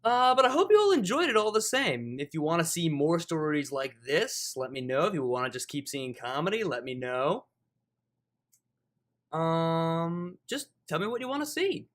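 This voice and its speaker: A man's voice: fairly high pitch, sing-song, moderate pace. A man who reads fan fiction aloud and hosts his own channel. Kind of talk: dramatic scripted story narration, then casual outro. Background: music.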